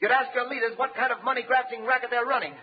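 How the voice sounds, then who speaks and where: An actor playing a defiant, righteous prosecutor, impassioned and declamatory in 1930s radio style. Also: male voice, very high voice, flat delivery, very fast, slightly rough. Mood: defiant, indignant. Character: defiant, righteous male prosecutor condemned by a gang. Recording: dull, noisy 1930s radio recording.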